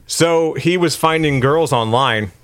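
A man's voice: on the high side, a little slow, smooth. Jokey man chatting while gaming. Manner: jokey, animated reactions. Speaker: podcast co-host playing a video game. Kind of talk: casual chat while gaming.

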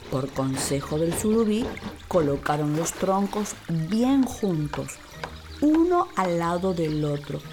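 A woman's voice: on the low side, darkish tone, a little hiss or hum. Woman narrating a children's story over music. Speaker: actress narrating. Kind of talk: scripted children's radio play narration. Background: music bed.

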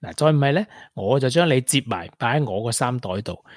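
A man's voice: medium pitch, lively intonation, a little fast, no echo, clean studio sound, natural voice. An actor with a Hong Kong Cantonese accent playing a caring, playful young man in a radio drama, with a coaxing, colloquial manner. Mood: tender, teasing. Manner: coaxing, colloquial, with English interjections. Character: caring, playful, persistent young man wooing a grieving colleague.

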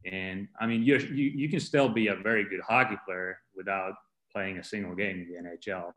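A man's voice: sits low, a little fast, fairly bright tone. A man from Finland, a coach giving plain-spoken, explanatory answers as an interview guest. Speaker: podcast guest, strength and conditioning coach. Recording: roomy, echoing sound.

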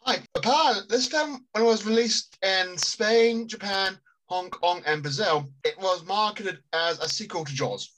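A man's voice: sits high, moderate pace, hardly any pauses, rough recording. A man co-hosting a casual film podcast, reading out trivia. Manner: relaxed, amused, reads out trivia facts. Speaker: podcast co-host. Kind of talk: casual chat.

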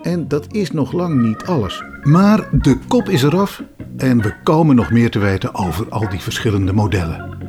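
A man's voice: mid-range voice, lively intonation, normal speed. A man with a Netherlands Dutch accent presenting scripted documentary narration. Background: music under the voice.

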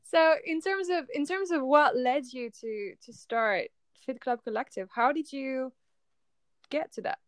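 A woman hosting a podcast interview, enthusiastic and encouraging, with somewhat halting questions. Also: high-pitched, smooth, no room sound, clean studio sound. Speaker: podcast host.

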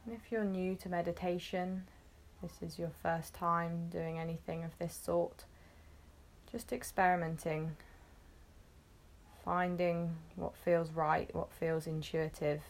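A woman guiding a meditation, gently instructing in short phrases with long, unhurried pauses. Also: lowish voice, level tone, a little hiss or hum. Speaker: meditation guide. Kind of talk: guided meditation, soothing instruction.

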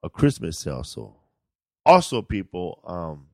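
A man's voice: sits low, wildly swooping pitch, unhurried, dry recording. A man hosting a casual podcast, chatting in a laid-back, slangy, repetitive way. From California. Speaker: podcast host. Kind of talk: casual comedic podcast chat.

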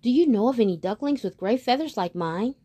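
A woman's voice: on the high side, expressive, a little fast, warm tone, breathy edge, voice pushed up. A woman voicing a sad, lonely young male duckling in a storybook reading, with a plaintive, pleading way of talking.

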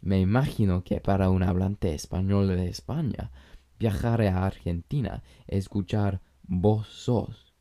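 A man who is a native English speaker, talking Spanish with an English accent, hosting a language-learning podcast in a measured, explanatory way with light jokes. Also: sits low, fairly even intonation, dark tone. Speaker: podcast host. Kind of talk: scripted language-learning monologue.

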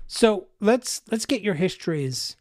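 A man's voice: high-pitched, animated, measured pace, darkish tone, no echo. Curious male podcast host, dry sound. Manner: curious, prompting questions. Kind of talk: interviewing.

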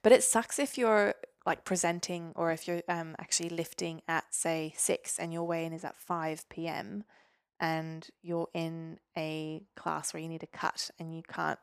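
A woman's voice: low-pitched, flat delivery, measured pace, clear, resonant tone, no room sound, studio quality. A woman from Norway chatting informally as a podcast guest, in a casual, chatty way. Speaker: podcast guest, physiotherapist and weightlifter. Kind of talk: casual interview chat.

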